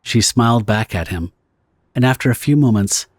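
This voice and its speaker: A man's voice: medium pitch, expressive, moderate pace, breathy edge, dry recording, clean studio sound. A man narrating a pulp horror audio drama from a script. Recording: guitar music behind the narration. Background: plucked guitar music.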